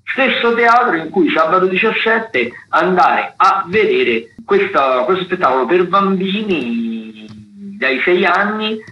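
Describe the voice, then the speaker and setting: A man's voice: sits high, animated, slow pace. Hesitant man, slightly dull radio sound. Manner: hesitant, repeats words as he goes. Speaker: theatre critic, radio contributor. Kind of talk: conversational radio listings commentary.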